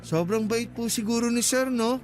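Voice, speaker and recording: A man's voice: very high-pitched, normal speed, soft background music. A man playing a courteous, reassuring young motorcycle taxi rider in a radio drama. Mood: sympathetic. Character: polite, careful, friendly young male motorcycle taxi rider.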